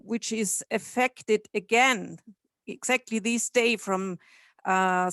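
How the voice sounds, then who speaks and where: A woman's voice: fairly high pitch, no room sound. A woman making an earnest contribution to an online discussion. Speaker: webinar participant.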